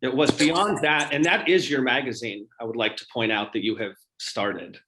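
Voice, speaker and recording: Man, interview host, middling pitch, enthusiastic, complimentary, casual. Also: fairly even intonation, normal speed, pushed and bright, low-quality recording.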